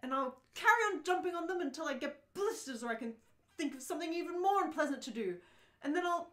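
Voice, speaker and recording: Woman acting a bewildered exasperated man, high voice, swooping intonation, dry sarcasm, radio play, roomy echo. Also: expressive, fast talker, fairly bright tone. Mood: furious, ranting. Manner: incredulous, dryly sarcastic. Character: bewildered, exasperated, sarcastic ordinary Englishman swept into space. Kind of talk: radio play read-through. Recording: roomy, echoing room sound.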